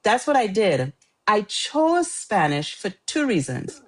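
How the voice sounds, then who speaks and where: A woman's voice: mid-range voice, sing-song, measured pace. A middle-aged woman from Trinidad, an author chatting as a guest in an interview, talkative and laughing often. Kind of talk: casual interview chat.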